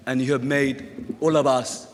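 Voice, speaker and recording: A middle-aged man with a Bangladeshi accent addresses an awards ceremony in a large reverberant hall. His voice is mid-pitched, and he speaks in measured, halting phrases, encouraging his listeners as a guest speaker. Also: little pitch movement, slight background noise. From rural Bangladesh. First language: Bengali. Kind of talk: motivational speech at an awards ceremony. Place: large indoor event hall. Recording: echoey hall with long reverb.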